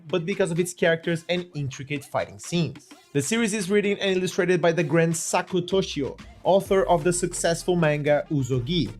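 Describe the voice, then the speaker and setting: A man with a Brazilian accent narrating a scripted review with enthusiasm and playful, mock-dramatic asides. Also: high-pitched. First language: Portuguese. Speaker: manga review host. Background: faint background music.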